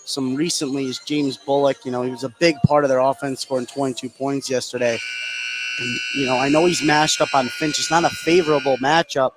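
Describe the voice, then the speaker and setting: A man's voice: mid-range voice, steady tone, fairly quick, poor audio. A man giving conversational live analysis as a basketball color commentator. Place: indoor gym at a basketball game.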